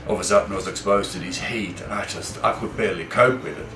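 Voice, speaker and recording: A man's voice: low voice, fast, slight background noise. Man, echoey and distant. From Switzerland. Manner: relaxed, rambling with fillers. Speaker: mountain manager. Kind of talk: interview.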